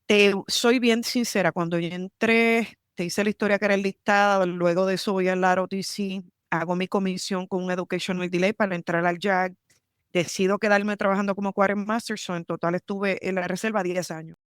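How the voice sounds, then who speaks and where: A woman from Puerto Rico with a Puerto Rican accent, telling her story in a lively interview and mixing English terms into her Spanish. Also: medium pitch, a little fast, smooth, no echo, clean studio sound. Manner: animated, emphatic, mixes English terms into Spanish. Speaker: army lawyer, guest.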